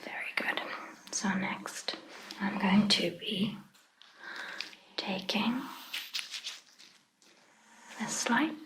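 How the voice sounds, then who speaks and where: A woman whispering gently in an ASMR roleplay as a caring vampire doctor, reassuring and a little hesitant. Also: mid-range voice, extremely slow, pauses often, poor audio. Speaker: ASMR performer.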